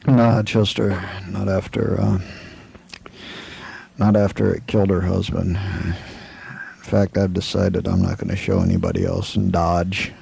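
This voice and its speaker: An actor playing a calm, laconic Old West lawman with an American accent, understated and hesitant in a radio western. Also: low voice, a little slow, creaky, breathy edge, exaggerated voice. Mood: grim, resolute. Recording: faint background noise on old recording.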